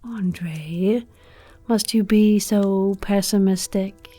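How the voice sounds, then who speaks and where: A woman playing a genteel, affectionate and quietly macabre wife in a horror audio drama, refined and coy. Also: mid-range voice, steady tone, extremely slow, no room sound. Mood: hushed, chiding.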